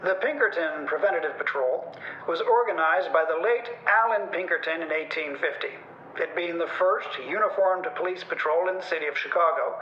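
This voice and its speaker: A high-pitched, formal Victorian agency superintendent , acted out by a man, declaims a business circular to prospective clients. The recording is muffled and roomy, like an old radio reading. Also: a little hiss or hum, natural voice.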